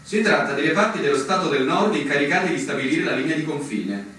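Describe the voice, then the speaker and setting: An actor playing a brooding, solemn army officer in a theatrical radio drama, declamatory with dramatic pauses. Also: male voice, fairly high pitch, expressive, fairly bright tone, slight background noise. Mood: formal announcing. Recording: echoey, distant miking, music behind.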